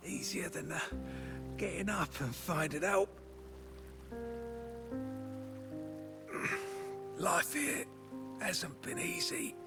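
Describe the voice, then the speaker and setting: An actor playing a frail, ailing old man in a video game, weary and halting, breathy and coughing. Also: sits high, over music, heavily acted. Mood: weary, resigned.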